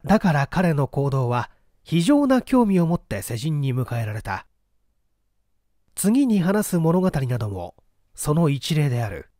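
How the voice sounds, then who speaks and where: A man's voice: on the high side, very animated, a little slow, dark tone, dry recording, studio quality. A man reading an old mystery novel aloud as dramatic, theatrical narration. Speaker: audiobook reader.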